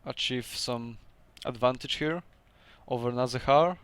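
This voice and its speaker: A man's voice: mid-range voice, unhurried, fairly bright tone, clear, resonant tone, dry recording. Polish guy commentating his game, laughs a lot, hesitant english, clean dry recording. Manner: chatty, laughs often, hesitant second-language phrasing. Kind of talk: casual gameplay commentary.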